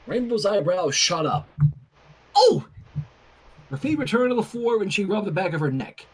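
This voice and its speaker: A fan fiction reader narrating a story in an animated style with casual asides. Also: medium pitch, brisk, slightly rough, poor audio.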